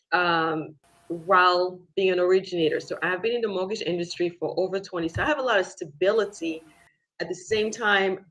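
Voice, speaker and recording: A woman from the French Caribbean speaks as a workshop guest, her voice sitting a bit low. She talks with energy and emphasis, often restarting a phrase to get it right, over a video call. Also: low-quality recording. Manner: energetic, self-correcting, emphatic. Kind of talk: self-introduction.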